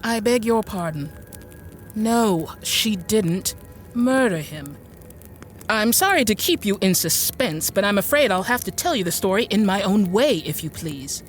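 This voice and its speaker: A woman's voice: medium pitch, animated, unhurried, faint music behind, close to an everyday voice. An actress playing a prim, genteel woman in an audio drama, confiding her story in a hesitant, rambling, over-polite way. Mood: confiding, fussy. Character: prim, fussy, genteel woman recounting a dark tale. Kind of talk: audio drama acting, confiding first-person narration.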